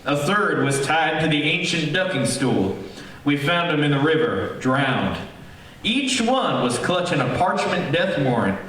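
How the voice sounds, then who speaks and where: An actor playing a baffled, earnest small-town sheriff, explaining hesitantly in old-time radio mystery style. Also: male voice, fairly high pitch, very animated, unhurried, a bit bright. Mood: grave. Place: theater stage, live performance. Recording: very echoey theater, distant mic, faint noise.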